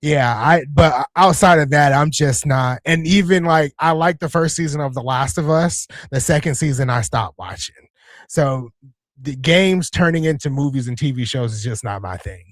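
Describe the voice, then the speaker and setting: A man's voice: a little high, normal speed, no room sound. A man co-hosting a casual wrestling watch-along stream, chatty and opinionated, repeating himself between fillers. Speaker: co-host.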